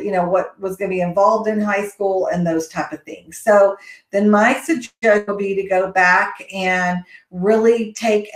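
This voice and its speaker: Texan woman advising on college admissions. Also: medium pitch. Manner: rambling, many ums and restarts, friendly. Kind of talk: casual live Q&A answering questions. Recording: echoey room.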